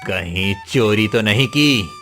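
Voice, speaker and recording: Man playing a stern, slow-spoken authority figure. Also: on the low side, expressive, pushed and bright, soft background music, full character voice. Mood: suspicious. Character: stern male palace guard and suspicious moneylender. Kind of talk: Hindi moral-story cartoon dialogue.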